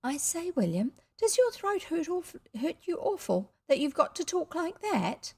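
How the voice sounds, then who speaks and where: A woman voicing a sweet little girl in a storybook, earnest, tender and wide-eyed, in a high girlish voice. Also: big pitch swings, measured pace, gravelly, warm tone, full character voice. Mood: anxious sympathy. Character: sweet, innocent, anxious little girl next door.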